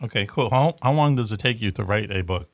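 A man with a Boston accent hosts a casual talk show. His voice sits on the low side, and the sound is dry and close. He rambles, with plenty of fillers and restarts. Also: lively intonation, quick pace, no room sound. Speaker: radio show host.

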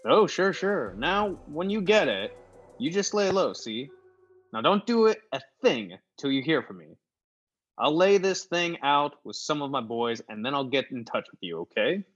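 Man acting a husky slangy movie tough guy. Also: fairly high pitch, sing-song, normal speed. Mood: brisk, conspiratorial. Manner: slangy, clipped, hesitant stammers. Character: tough-talking movie gangster star, affable but wary. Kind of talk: old-time radio comedy play acting. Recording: dull lo-fi audio with music behind.

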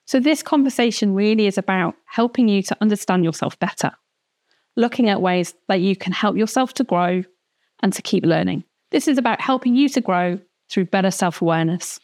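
Woman introducing a podcast, encouraging, mid-pitched. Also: brisk, a little mellow. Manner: encouraging, direct to listener.

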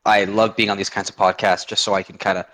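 A man's voice: fairly low pitch, little pitch movement, quick pace, a bit bright. A man in his twenties from Oregon, a guest on a podcast, telling his story in a rambling way with many restarts. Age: 20s. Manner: rambling, frequent restarts and fillers. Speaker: guest, army veteran. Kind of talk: casual podcast interview.

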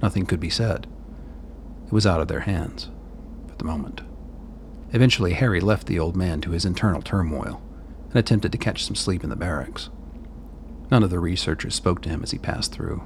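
A male narrator with a very low, gravelly voice tells a dramatic story. His delivery is measured and literary, with a little noise in the background.

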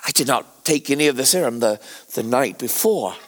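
An actor playing an anguished, guilt-ridden Victorian gentleman scientist in a radio drama, confessing haltingly and theatrically as he nears death. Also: mid-range voice, animated. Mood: despairing.

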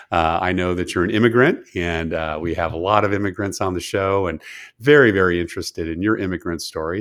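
A man hosting a business podcast, reading a deliberate intro and then chatting casually with fillers. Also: low-pitched. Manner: slow and deliberate in the intro, chatty with "you know" and "uh" in the interview. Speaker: podcast host.

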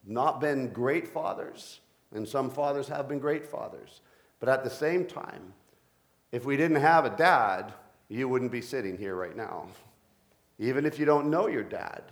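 Middle-aged man, pastor, deep voice, playful jokes, sermon. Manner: playful, joking with the congregation.

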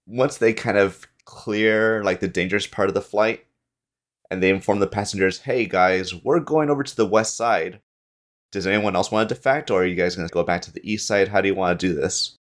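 Male podcast guest, low voice, informal storyteller who acts out bits of dialogue. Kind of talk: casual storytelling.

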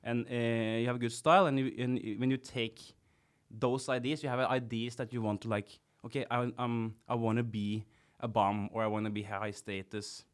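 A man speaks as a podcast guest, a clown by trade. His talk is halting and full of restarts, with a gently teasing edge. His pitch sits in an ordinary middle range. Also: steady tone. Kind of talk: casual conversation.

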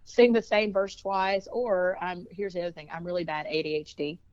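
Low-voiced chatty woman. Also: fairly even intonation, moderate pace, a little mellow, dry recording, lo-fi sound. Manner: chatty, rambling, laughs often. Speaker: singer and band leader. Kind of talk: casual interview chat.

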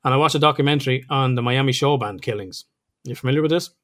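A man's voice: mid-range voice, quick pace, a bit bright. A man with an Irish accent, co-hosting a podcast in a casual, chatty way, laughing often and swearing now and then. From Ireland. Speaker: podcast co-host.

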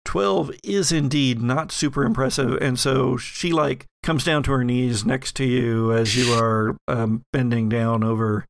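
A man's voice: medium pitch, unhurried, gravelly, few pauses, no echo. A man narrating a horror role-playing audio drama as game master, with descriptive, suspenseful storytelling.

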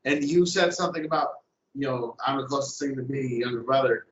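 A young man speaks earnestly in casual conversation, pausing and leaning on "you know". His voice sits in the middle range and bounces around a roomy space. The sound is dull and lo-fi. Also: medium pitch. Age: young adult. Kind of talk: casual chat.

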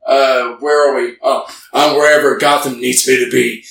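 A man's voice: mid-range voice, level tone, normal speed, a bit bright. A man casually reading out joke replies for comedy, playful and mock-earnest. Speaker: podcast host. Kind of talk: casual comic read-aloud of joke text replies. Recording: echoey, distant mic, clear background noise.

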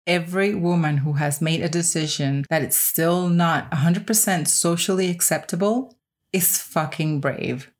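Female podcast host, lower-register voice, reflective first-person delivery, emphatic with deliberate repetition. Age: 30s or older.

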